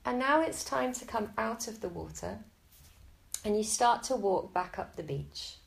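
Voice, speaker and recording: Slow, gravelly-voiced woman guiding meditation. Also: medium pitch, animated, low-quality recording. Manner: slow, soft and soothing. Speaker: meditation guide. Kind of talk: guided Yoga Nidra relaxation.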